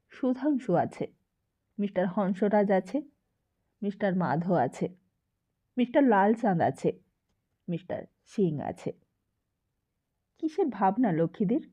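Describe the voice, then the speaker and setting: Female audiobook narrator: dark, relaxed tone, expressive storytelling, somewhat dull lo-fi sound. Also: medium pitch, breathy edge. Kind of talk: expressive scripted literary narration.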